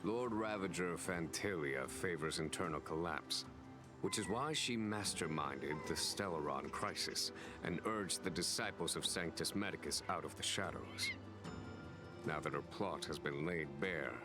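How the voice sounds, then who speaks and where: An actor playing a calm, burdened general of a fantasy realm in a video game, speaking in a measured, formal way. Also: male voice, a bass voice. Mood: grave, analytical.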